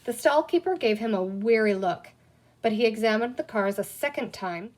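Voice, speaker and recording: A woman narrates a children's storybook in a fairly high voice. She reads the scripted text expressively, as an audiobook reader. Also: animated, moderate pace, darkish tone.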